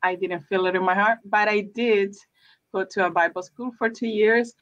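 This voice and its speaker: A woman's voice: mid-range voice, steady tone, moderate pace, a bit bright. A woman, a guest chatting over a video call, hesitant with many restarts. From El Salvador. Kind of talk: casual interview chat. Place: room with brick wall. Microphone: webcam on a video call. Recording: dull lo-fi sound.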